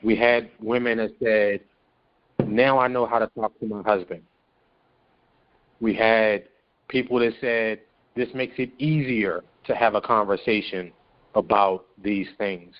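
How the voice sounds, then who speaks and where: A man speaking as a guest in a panel discussion, measured and explanatory. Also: lowish voice, fairly even intonation, lo-fi sound. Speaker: podcast guest from a stage play production.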